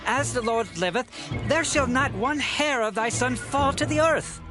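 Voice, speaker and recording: A man's voice: very high-pitched, unhurried, music in the background. An actor playing an authoritative king, regal and declamatory, in a dramatized scripture production. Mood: commanding. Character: authoritative king granting a petitioner protection. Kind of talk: dramatized Bible audio acting.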